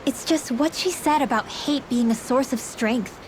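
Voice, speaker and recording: A woman playing a soft-hearted, troubled teenage girl in a fantasy video game, hesitant and introspective. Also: high voice, normal speed, background noise, close to an everyday voice. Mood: hesitant, wondering. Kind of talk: video game cutscene dubbing.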